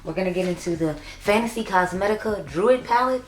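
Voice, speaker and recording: A young woman with a raspy voice, chatting casually and upbeat as she reviews makeup for her vlog. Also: medium pitch, lively intonation, fairly quick, slight background noise. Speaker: beauty YouTuber. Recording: echoey room.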